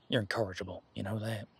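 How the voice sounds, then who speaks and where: A man playing an easygoing, protective werewolf bodyguard in a roleplay audio drama, chatting in casual, halting, folksy phrases. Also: lowish voice, creaky, pushed and bright, slightly rough, no echo, full character voice. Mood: flustered, teasing. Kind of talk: roleplay audio drama, conversational monologue to listener.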